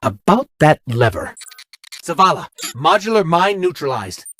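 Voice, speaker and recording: Flippant, animated robot adventurer. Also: male voice, a little high, measured pace, a little hiss or hum. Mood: wry, exasperated. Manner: flippant, breezy, sarcastic. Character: wisecracking male robot adventurer, flippant and easily distracted. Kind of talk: comic video game dialogue.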